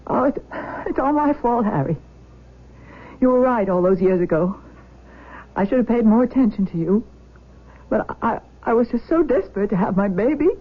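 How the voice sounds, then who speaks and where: A woman's voice: fairly high pitch, expressive, normal speed, long pauses. An actress playing an anxious, guilt-ridden middle-aged mother in a radio drama, hesitant and fretful in delivery. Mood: guilty, distressed. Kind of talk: 1970s radio drama acting. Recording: thin phone-like old radio recording.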